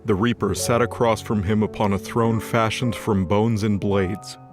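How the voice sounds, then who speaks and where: Male narrator for mythology audio drama, lowish voice, scripted dramatic read over clear music. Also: fairly even intonation, normal speed. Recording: music under the voice.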